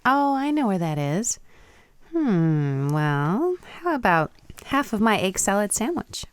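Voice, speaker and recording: A woman's voice: medium pitch, big pitch swings, takes it slowly, smooth, dry recording. An actress playing a proud, coy young Japanese woman who teases and tells tales with precise wording in a radio play. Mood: playful, teasing.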